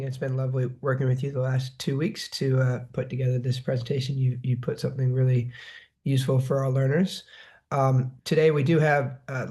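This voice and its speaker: A man with a sore throat wrapping up a webinar as its host, giving information about the program in a steady way on a video call. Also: mid-range voice. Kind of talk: closing remarks to a webinar.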